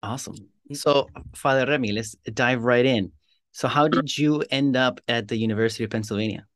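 Dry-voiced podcast host, friendly. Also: male voice, medium pitch, a little fast, a bit bright, no room sound. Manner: friendly, rephrases questions. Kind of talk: casual interview hosting.

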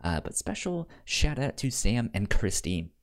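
A man's voice: mid-range voice, sing-song, unhurried. A man hosting a podcast in casual, informal chat, repeating words for emphasis. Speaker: podcast host.

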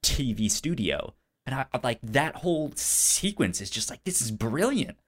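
A man's voice: mid-range voice, sing-song, moderate pace, fairly bright tone. A man co-hosting a film podcast, talking casually and enthusiastically, with a stutter that repeats sounds and syllables. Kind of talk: casual movie discussion.

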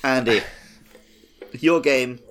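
A man's voice: mid-range voice, slow pace, a bit bright, a little hiss or hum. A man hosting a comedy panel game in a casual, jokey, sweary way. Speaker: game show host.

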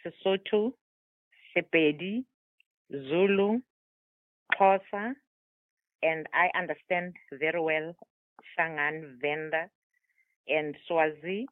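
A South African woman with a lowish voice tells her life story slowly and deliberately. Her first language is Tswana. She comes through a dry, tinny phone line. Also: no room sound. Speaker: guest author. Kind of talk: remote interview, telling her life story.

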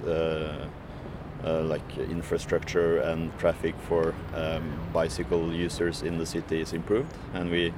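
A man's voice: extremely low pitch, monotone, unhurried, background noise. A man who works as a food courier, answering interview questions hesitantly with many fillers and restarts.

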